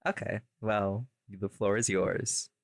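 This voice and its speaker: A man's voice: fairly low pitch, fairly even intonation, slow, vocal fry, dry recording. A man co-hosting a casual podcast, talking informally with a dry, teasing manner. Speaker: podcast co-host, voice actor. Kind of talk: casual behind-the-scenes podcast chat.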